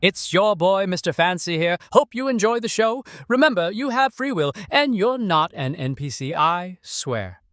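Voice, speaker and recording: A man's voice: high voice, animated, moderate pace, fairly bright tone, no room sound. A man hosting a promotional channel outro in a playful, informal way. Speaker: host.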